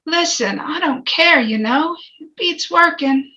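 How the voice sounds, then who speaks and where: A woman's voice: high voice, takes it slowly, pushed and bright, full character voice. A woman playing a plain-spoken, self-assured working man on a jury in an amateur table read. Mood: blunt, chatty. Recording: dull, lo-fi microphone.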